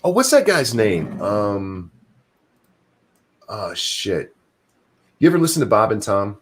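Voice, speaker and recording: A man with a very deep voice, co-hosting a casual livestream chat, rambling and swearing freely while he hunts for names he has forgotten. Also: sing-song, takes it slowly, breathy edge. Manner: profane, rambling, trails off searching for names. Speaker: podcast co-host.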